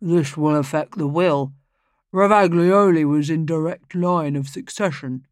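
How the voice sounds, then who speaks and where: A woman voicing a scheming middle-aged male lawyer with a smooth, insinuating manner. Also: deep voice, a little slow, no room sound, clean studio sound.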